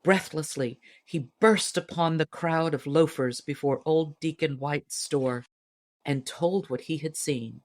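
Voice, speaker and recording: A woman narrating a storybook as an audiobook reader, in expressive scripted narration. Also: deep voice, measured pace, no echo.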